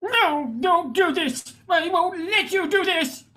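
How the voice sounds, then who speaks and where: A woman's voice: extremely high pitch, bright, forceful tone, exaggerated voice. A woman playing a befuddled husband in a radio play, stammering and drawing out words. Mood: pleading. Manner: halting, stammering, drawn-out words. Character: befuddled, hesitant husband losing his brain cells.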